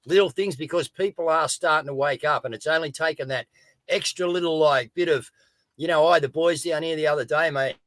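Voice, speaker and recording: Booming man, dry sound. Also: brisk, no room sound. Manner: loud, booming, addresses folks. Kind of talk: casual live stream chat.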